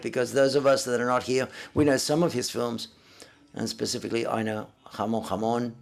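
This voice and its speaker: A man interpreting live at a press conference, speaking haltingly and often correcting himself as he renders others' words in first person. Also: mid-range voice, creaky.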